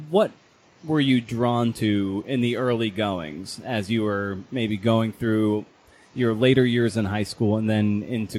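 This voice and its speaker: A man hosting a podcast interview, asking questions in halting, thoughtful phrases. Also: fairly low pitch, a little mellow. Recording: clearly noisy background ambience.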